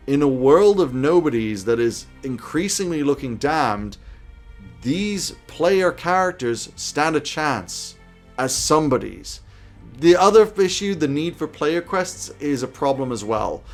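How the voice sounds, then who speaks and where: A man in his thirties presenting scripted game master advice to camera from a small home office. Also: mid-range voice. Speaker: YouTube host giving game master tips.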